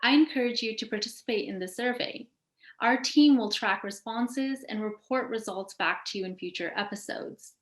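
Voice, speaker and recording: A woman's voice: fairly high pitch, moderate pace. Woman hosting in an echoey room. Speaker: show host. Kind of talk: scripted interview hosting. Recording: roomy, echoing sound.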